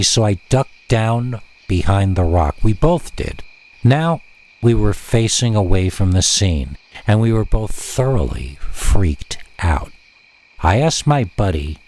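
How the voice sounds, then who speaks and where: A man with a fairly low voice reads a scripted horror tale. His delivery is theatrical and suspenseful, setting phrases apart with deliberate pauses. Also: a little mellow. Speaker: horror story narrator. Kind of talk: scripted scary story narration.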